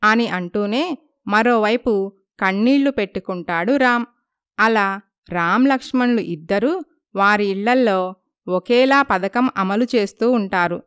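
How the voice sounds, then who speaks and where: A woman's voice: medium pitch, measured pace, long pauses, fairly bright tone, clear, resonant tone, dry recording, clean studio sound. A woman narrating a scripted moral story as its storyteller.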